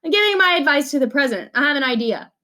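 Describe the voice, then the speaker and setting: A woman's voice: sits high, animated, very fast, sharp tone, pitched above the performer's own voice. Woman reading as an eager, insistent schoolboy. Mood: annoyed. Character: eager grade-school boy pitching wild ideas. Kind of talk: storybook read-aloud.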